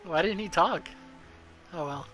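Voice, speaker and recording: A man doing casual live commentary as a game streamer, with playful, sweary, excitable asides. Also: high-pitched, unhurried, soft background music.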